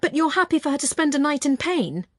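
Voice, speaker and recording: A woman's voice: high voice, sing-song, fast talker, fairly bright tone, no room sound, studio quality, voice pushed up. A woman voicing a blunt, exasperated, protective man in an audiobook, confrontational and indignant in manner. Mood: incredulous.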